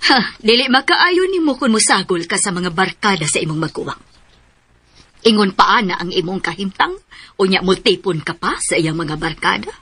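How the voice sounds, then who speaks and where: Husky, animated worried mother. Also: medium pitch, normal speed, full character voice. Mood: fretful, protective. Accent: Filipino, Cebuano. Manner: fretful, scolding. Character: worried, protective mother of two sons. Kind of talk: Philippine radio drama acting. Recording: music behind the voice.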